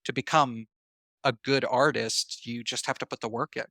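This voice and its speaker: Bright-voiced man in earnest conversation. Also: mid-range voice, steady tone, normal speed, creaky, no room sound, studio quality. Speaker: podcast guest, writer and actor. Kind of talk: reflective interview conversation.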